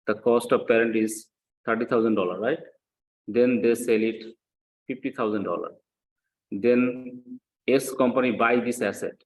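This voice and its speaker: A man with a slightly low voice gives a classroom lecture. He explains in a didactic, step-by-step way, repeating himself for emphasis. He often stops to check understanding with brief questions. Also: a little hiss or hum, rough recording. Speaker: accounting lecturer.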